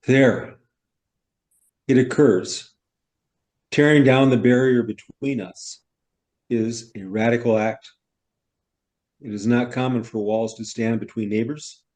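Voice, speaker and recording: A man playing a middle-aged newcomer farmer in a stage play, musing and curious in a deliberate, ruminative manner. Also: mid-range voice, a little mellow, lightly acted. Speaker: actor in a staged reader's theater.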